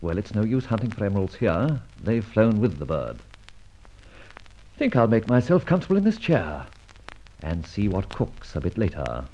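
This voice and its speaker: A man playing a suave, flippant gentleman adventurer who teases wryly, in an old radio crime serial with dull sound. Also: lowish voice, sing-song, normal speed. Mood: sly, musing. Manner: flippant, teasing, debonair.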